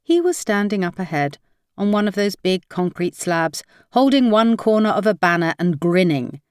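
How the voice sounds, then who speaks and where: A woman's voice: mid-range voice, sing-song, moderate pace, clear, resonant tone, no room sound, studio quality. A woman reading a crime novel aloud as scripted audiobook narration.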